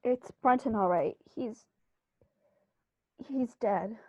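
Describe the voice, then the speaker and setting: An excitable, fussing country gentleman, voiced by a woman. The voice is high, and the lo-fi recording sounds dry and dull. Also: takes it slowly, no echo. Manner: excitable, fussy exclamations. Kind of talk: amateur radio play acting.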